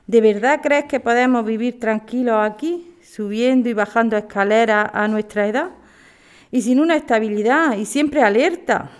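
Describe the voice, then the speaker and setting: Woman playing old mom in a spanish radio drama, spain accent, smooth highish voice, earnest. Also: lightly acted. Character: firm 78-year-old mother of a struggling family. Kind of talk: amateur radio drama acting.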